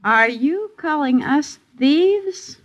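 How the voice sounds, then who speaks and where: A woman's voice: sits high, animated, drawn-out words, heavily acted. An actress playing a querulous, grasping boarding-house landlady in a 1950s radio drama, scolding in folksy, ungrammatical speech. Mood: defensive, scolding. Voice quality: uneducated, folksy grammar. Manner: nagging, scolding. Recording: dull vintage radio recording.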